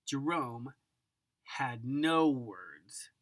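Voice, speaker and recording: A woman giving an expressive children's picture book read-aloud, savoring words dramatically and speaking directly to the listener. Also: extremely low pitch. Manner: savors single words with drawn-out, dramatic delivery and occasional whispers. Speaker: storybook reader.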